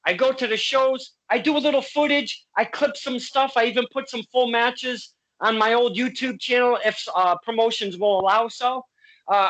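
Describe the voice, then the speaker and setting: Bright, boisterous male podcast host. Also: very high voice, low-quality recording. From Connecticut. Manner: boisterous, playful, swears freely. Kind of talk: casual interview.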